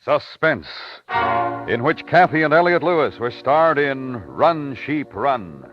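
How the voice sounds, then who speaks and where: Male vintage radio announcer: medium pitch, formal, measured, dramatic, music bed, dull old recording. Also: big pitch swings. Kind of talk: 1950s radio announcing, scripted.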